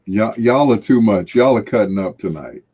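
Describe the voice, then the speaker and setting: A man's voice: on the low side, lively intonation, normal speed, a little mellow, husky. A middle-aged or older American man chatting casually and jovially with viewers on a livestream, with a folksy way of drawing out and repeating phrases. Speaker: livestream host.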